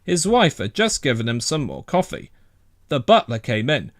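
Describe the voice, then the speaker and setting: A man's voice: mid-range voice, sing-song, moderate pace, no room sound, clean studio sound. A man narrating a children's story from a script as a storybook reader.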